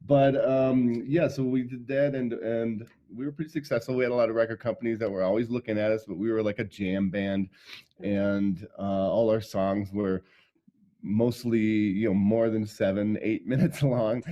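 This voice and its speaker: Relaxed low-voiced man, mellow tone. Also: moderate pace, muffled recording. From Michigan, USA. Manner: relaxed, laughs often, many ums and uhs. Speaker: guest, sound healer. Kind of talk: casual storytelling interview.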